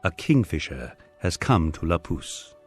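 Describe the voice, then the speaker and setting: A man narrating a first-person memoir as an audiobook, reading in a measured, expressive storytelling manner. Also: deep voice, faint music behind.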